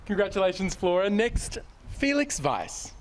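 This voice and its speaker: A man announcing names at an outdoor ceremony, speaking in a measured, welcoming way. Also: high voice, very animated, background noise. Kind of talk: formal announcing. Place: outdoors by a lake.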